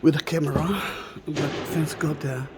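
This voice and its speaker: A man whose first language is Hebrew, guiding a slow, halting walking tour inside a large stone church, recorded on a camera mic. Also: on the high side, steady tone, noisy background. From Israel. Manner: slow, halting, with many fillers. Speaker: tour guide.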